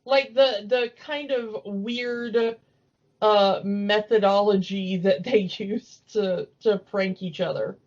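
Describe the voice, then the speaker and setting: A woman's voice: mid-range voice, steady tone, slow, a little mellow. A woman co-hosting a podcast in a casual, giggly and chatty way. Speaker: podcast co-host. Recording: dull lo-fi audio.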